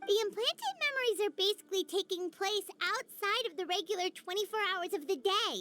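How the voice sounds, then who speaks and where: A woman voicing a tiny, excitable female fairy companion in a video game, chirpy and exclamatory. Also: very high voice, full character voice. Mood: excited, explaining. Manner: exclamatory, chirpy, speaks of herself in third person. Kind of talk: animated video game voice acting.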